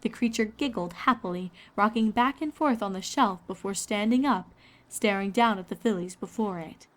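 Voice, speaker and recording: A woman's voice: medium pitch, normal speed. A woman reading scripted story narration as the narrator of a fan fiction.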